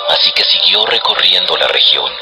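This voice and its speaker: A man with a deep, low voice reads scripted narration for a dramatized audio Bible. Music plays clearly underneath his storytelling. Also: animated, moderate pace, poor audio.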